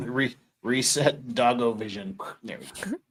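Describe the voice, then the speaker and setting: A man's voice: mid-range voice, big pitch swings, takes it slowly, gravelly, husky. A man hosting a casual comic commentary show, joking and sardonic as he chats. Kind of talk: casual chat and dramatic reading of trial transcripts.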